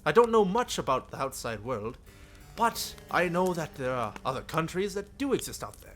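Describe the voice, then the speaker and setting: A man's voice: on the high side, very animated, normal speed, a bit bright, faint music behind. A man voicing a cheerful, sheltered young princess in a visual novel, with an airy, regal, slightly formal manner. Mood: friendly. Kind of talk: visual novel character read-aloud.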